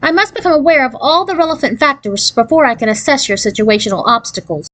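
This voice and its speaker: Lady doing a pompous fairy godmother for a storybook, high voice, nervous, super official sounding. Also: animated, fast, a bit bright. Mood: important. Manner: pompous, formal bureaucratic wording. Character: anxious, self-important fairy godmother woman spouting officialese.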